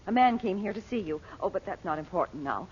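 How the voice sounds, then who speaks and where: A woman's voice: medium pitch, expressive, fast talker, slightly rough. An actress playing an anxious, emotional mother in a 1940s radio serial, fretful, hesitant and pleading. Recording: muffled, noisy old radio recording.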